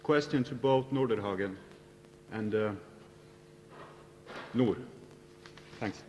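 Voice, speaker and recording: A man speaks very slowly and deliberately as he puts his question to a panel. The audience mic carries a long hall reverb over a faint hum. The 1990 archive sound fits a large lecture hall. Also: fairly low pitch, a little mellow. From Norway. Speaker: audience member asking a question. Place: lecture hall at a student festival.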